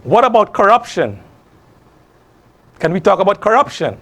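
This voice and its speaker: A middle-aged man with a Guyanese accent hosts a studio program, speaking in a measured way and slowing down for emphatic questions. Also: high-pitched, sing-song, a bit bright. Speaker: host. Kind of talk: scripted monologue to camera. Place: small studio.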